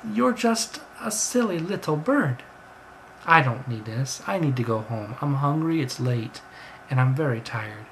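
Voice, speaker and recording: A man's voice: mid-range voice, moderate pace, close to an everyday voice. Man playing a tired, skeptical office worker, faint noise. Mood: dismissive. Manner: puzzled, dismissive, musing to himself. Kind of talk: storybook character voice.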